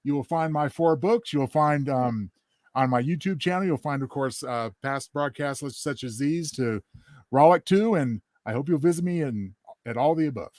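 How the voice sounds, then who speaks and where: A man's voice: fairly high pitch, normal speed, a little mellow, dry recording, clean studio sound. A man co-hosting a podcast, chatting casually with courteous, slightly formal turns of phrase. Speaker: podcast co-host. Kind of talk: casual chat.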